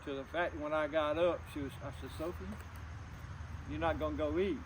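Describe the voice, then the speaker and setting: A middle-aged man talking personally to camera, subdued and grieving, in a halting, plain-spoken, folksy way. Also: a little high, steady tone, noisy background. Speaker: outdoors vlogger.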